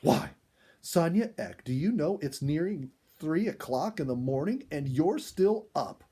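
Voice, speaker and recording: A man voicing an excitable, squeamish mother in a storybook reading, with dramatic shrieks and moans. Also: on the high side, unhurried, slightly rough, voice pushed up. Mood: motherly concern. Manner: shrieky exclamations, dramatic moaning. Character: excitable mother terrified of mice, melodramatic and squeamish.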